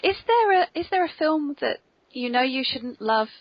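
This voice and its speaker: An English woman hosting a podcast interview, chatting playfully with polite, theatrically expressive phrasing. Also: sits high, moderate pace, no echo. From Cornwall, England. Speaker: podcast host interviewing an author. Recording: dull, lo-fi early podcast recording.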